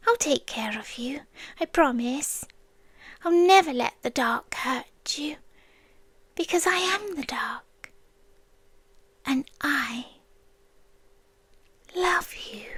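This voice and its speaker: A British woman playing a possessive, obsessive female demon in an ASMR roleplay, soft and breathy, often whispering, coaxing with tender menace. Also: high-pitched, no room sound, heavily acted. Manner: slow, coaxing, sweetly menacing with long pauses.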